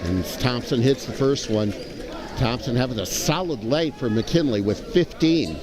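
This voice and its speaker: Male color analyst, mid-range voice, live basketball commentary amid a loud gym crowd. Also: medium pitch, measured pace. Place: indoor high school gymnasium. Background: crowd, bouncing basketballs.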